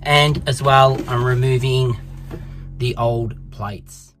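A chatty, upbeat Australian man in his thirties talks through a phone held at arm's length inside a small camper van. His voice sits at an ordinary middle pitch. Music plays clearly underneath. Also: fairly even intonation, takes it slowly, rough recording. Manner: chatty, upbeat, with soft asides to his dog. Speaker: vlogger. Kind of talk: casual vlog narration. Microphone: handheld phone at arm's length.

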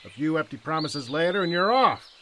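An actor playing a pompous, overprotective older brother with old-fashioned indignation in a comic audio drama. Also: male voice, fairly high pitch, expressive, fairly quick, pushed and bright, slight background noise. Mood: indignant, pompous.

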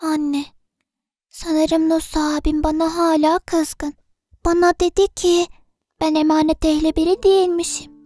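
A woman's voice: very high voice, level tone, measured pace, mellow, no room sound, clean studio sound, faint music behind. A woman voicing a sweet, worried little girl, childlike and pleading, in a children's cartoon dub. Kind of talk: dubbed children's cartoon acting.

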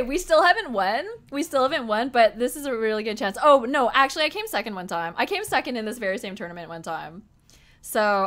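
A young woman with a higher, bright, forceful voice chats excitedly with her viewers from a desk indoors. Her voice has a slight hoarseness from talking for hours. She giggles often and speaks straight to her audience. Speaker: poker streamer. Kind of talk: live-stream commentary to chat. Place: small room indoors at a desk.

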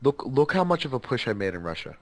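A man gaming with friends who keeps insisting he is innocent. He answers in playful mock outrage. His pitch swings widely. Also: very animated, fast talker. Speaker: gamer accused by his friends. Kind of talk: casual multiplayer gaming chat.

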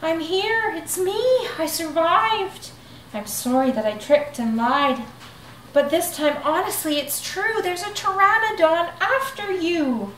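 A woman voicing a boastful young male dinosaur who pranks his friends, with shrill excited shouting. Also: slow pace, a little hiss or hum, full character voice. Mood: pleading. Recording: echoey room, mic at a distance.

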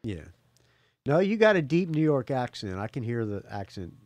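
A man hosts a casual music podcast with a Southern American accent that has mostly worn away. His pitch moves around a lot as he talks. The sound is dry, with little room on it. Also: sing-song, moderate pace, darkish tone, dry recording. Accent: faded Southern US. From Jacksonville, Florida, US. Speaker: podcast host. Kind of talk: casual phone chat on a rock music podcast.

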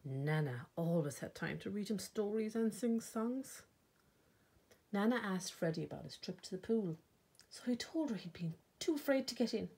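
An Irish woman reading a children's picture book aloud expressively, with dramatic pauses and emphasis. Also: medium pitch, expressive, warm tone, slightly rough. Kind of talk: storytime read-aloud narration.

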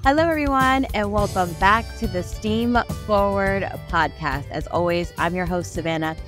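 A woman's voice: mid-range voice, normal speed, music in the background. A young adult American woman hosting a casual interview podcast on a close studio microphone. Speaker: podcast host. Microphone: close studio microphone on boom arm.

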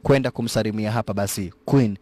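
A man's voice: lowish voice, fast talker, noisy background. A man giving live, jokey voice-over narration of an action film, mixing slang and English. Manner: lively, jokey, mixes Swahili slang with English. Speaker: movie narrator. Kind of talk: live Swahili movie voice-over commentary.

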